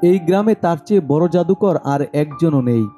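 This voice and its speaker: A man's voice: a little high, expressive, normal speed, dark tone. A man narrating a scripted horror story over background music.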